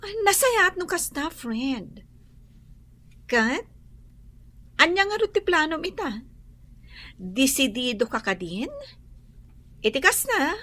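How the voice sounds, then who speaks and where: A woman's voice: high-pitched, sharp tone, heavily acted. An actress playing an eager, supportive young woman friend in a radio drama.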